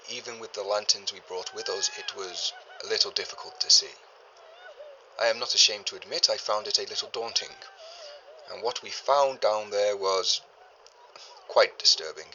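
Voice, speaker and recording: An actor playing a learned 1930s archaeologist, a man recording a formal, deliberate journal in grave and uneasy tones for an audio drama. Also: on the low side, pushed and bright, lo-fi sound, a little hiss or hum. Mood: grave unease. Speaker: expedition leader keeping a recorded log. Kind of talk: scripted audio drama monologue, spoken journal.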